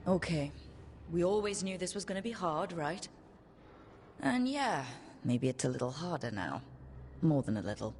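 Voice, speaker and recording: A woman's voice: sits low, sing-song, normal speed, pauses often, darkish tone, a little hiss or hum. An actress playing a resolute, earnest young woman who leads a band of heroes in a fantasy game. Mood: determined. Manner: earnest, rallying, plain-spoken. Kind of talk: dramatic fantasy game voice acting.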